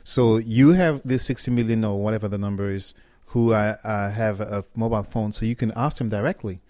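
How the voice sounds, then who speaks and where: A middle-aged man from Cameroon, a startup co-founder talking casually as an interview guest, relaxed and often restarting his words. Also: on the low side, fairly quick, no room sound, clean studio sound.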